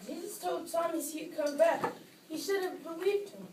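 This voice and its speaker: A discouraged, slightly vain boy puppet performed by a woman in a children's show. The voice is high and plaintive. It carries from a distance through an echoey room with faint noise. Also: normal speed. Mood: earnest. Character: doubtful, discouraged boy puppet named Carl, a little vain.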